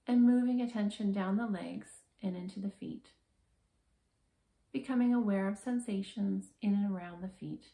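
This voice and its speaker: A woman guiding a body scan meditation in a calm, gentle, measured manner with slow, soothing pauses. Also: mid-range voice, gravelly, echoey room. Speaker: meditation guide, therapist.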